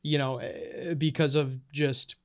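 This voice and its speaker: Smooth-voiced young man, self-deprecating. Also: a little high, flat delivery, takes it slowly. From Minnesota and Honduras. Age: young adult. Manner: self-deprecating, lots of ums and likes. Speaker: podcast co-host and guest. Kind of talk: casual storytelling chat.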